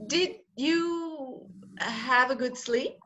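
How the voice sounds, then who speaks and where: A woman's voice: sits high, very slow, pushed and bright, dull audio, a little hiss or hum, full character voice. A woman playing a flustered, haughty society bride in a radio play, theatrical and exclamatory. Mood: brittle, breezy.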